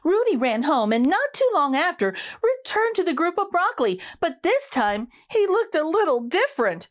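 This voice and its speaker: A woman reads a children's storybook aloud. Her voice is high and very lively in pitch, with animated, emphatic delivery. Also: normal speed. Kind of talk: expressive read-aloud for young children.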